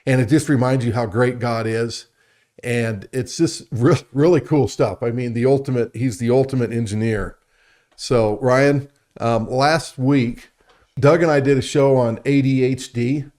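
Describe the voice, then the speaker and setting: A man's voice: mid-range voice, measured pace, a little mellow. A man co-hosting a conversational science and faith talk show, speaking in a chatty, enthusiastic way. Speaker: radio show co-host.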